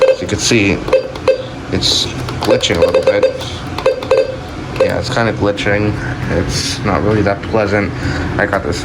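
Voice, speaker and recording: A man giving a casual, unscripted show-and-tell as a video host, speaking in a plain, offhand, halting way. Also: low-pitched, vocal fry. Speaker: video host showing a collection. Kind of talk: casual unscripted product showcase. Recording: loud steady ambient hum and hiss.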